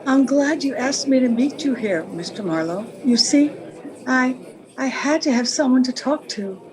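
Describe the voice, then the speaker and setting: An actress playing a sentimental, lovelorn married woman in old-time radio melodrama, speaking earnestly in halting phrases. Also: high voice, animated, darkish tone. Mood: wistful, troubled.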